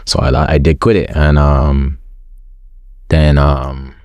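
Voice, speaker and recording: A man's voice: very deep voice, flat delivery, takes it slowly, darkish tone, dry recording. A young man as an interview guest, chatting openly in slangy talk with many repeated words. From school system with forms. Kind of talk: casual interview chat.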